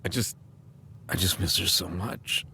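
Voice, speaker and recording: An actor playing a gruff, mournful, hard-drinking middle-aged father in an audio drama. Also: low voice, steady tone, unhurried, sharp tone, slight background noise, full character voice. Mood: grieving, tender. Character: grieving hard-drinking father of a young girl, 1915.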